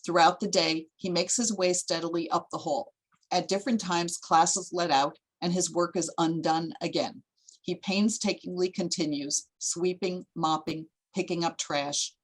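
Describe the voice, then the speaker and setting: A woman reading screenplay stage directions as narrator, in a measured, descriptive way. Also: fairly low pitch, fairly even intonation, rough recording.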